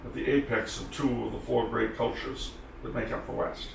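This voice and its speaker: A man's voice: mid-range voice, steady tone, normal speed, vocal fry. A man whose first language is French, giving dry, sarcastic, wide-ranging answers as an interview guest. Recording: echoey room, distant mic, faint noise.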